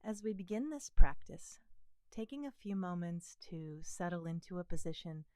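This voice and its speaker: Female meditation guide, slightly low and mellow, gentle and soothing, unhurried pauses between phrases. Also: lively intonation, dry recording. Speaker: meditation teacher. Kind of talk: guided body scan meditation.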